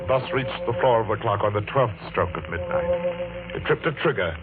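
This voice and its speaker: An actor playing a brooding, vengeful middle-aged clock repairman in old radio mystery style, speaking in a deliberate, dramatic way. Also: mid-range voice, sing-song, lightly acted. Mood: grim, haunted. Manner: deliberate, dramatic, halting when speaking to others. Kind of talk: 1940s radio mystery acting, first-person narration. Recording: dull vintage radio recording with music.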